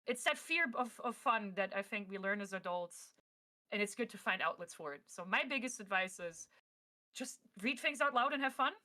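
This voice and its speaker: Bright, forceful woman's voice in casual talk. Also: medium pitch, lively intonation, moderate pace. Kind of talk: casual panel talk, giving advice and opinion.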